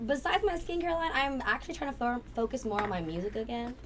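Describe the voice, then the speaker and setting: Raspy fast-talking woman guest. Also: fairly high pitch, expressive, fairly bright tone. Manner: rambling, candid, swears casually. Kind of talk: casual interview chat. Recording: distant mic with faint noise.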